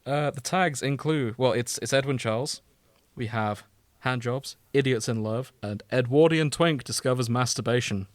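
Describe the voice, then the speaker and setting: A man's voice: medium pitch, moderate pace, no echo. A man co-hosting a podcast in casual chat, with a jokey, playful manner. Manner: jokey, often laughing mid-sentence. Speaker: podcast co-host. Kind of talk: casual chat with playful reading aloud of fan fiction.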